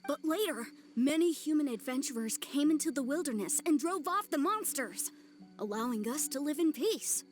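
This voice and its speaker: A woman's voice: sits high, lively intonation, moderate pace, faint music behind. A woman voicing a small, childlike yokai creature in a video game, earnest and plucky. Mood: earnest, eager.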